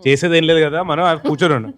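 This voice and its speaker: A man's voice: on the high side, very fast. A man with a Telugu accent telling anecdotes casually in a group interview, mixing in English phrases. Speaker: film team member being interviewed.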